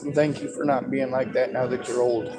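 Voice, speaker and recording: A man's voice: mid-range voice, little pitch movement, brisk, over music. A man talking casually in an informal conversation. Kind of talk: casual chat.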